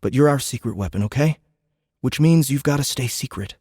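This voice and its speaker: A man's voice: medium pitch, animated, brisk, a little mellow, slightly rough, dry recording, studio quality, voice pushed up. A man voicing a big, protective teenage boy in an audiobook, speaking casually and firmly with some weariness. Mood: placating. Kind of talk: audiobook character dialogue.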